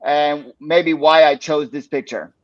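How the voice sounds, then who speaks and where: A man from Los Angeles talks through a presentation in a loose, conversational way, dropping "uh" and "you know" as he goes. His voice sits fairly high for a man. The close, dry sound has a muffled, low-fidelity quality. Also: fairly even intonation, unhurried, dry recording. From Los Angeles, United States. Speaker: presenter of a pitching workshop. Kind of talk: casual live-stream presentation.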